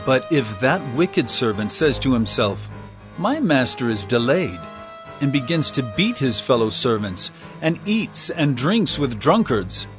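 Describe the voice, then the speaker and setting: A man's voice: medium pitch, very animated, measured pace, over music. An actor playing a solemn male prophet-teacher, delivering warnings and parables in earnest, emphatic dramatized scripture narration. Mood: urgent, grave.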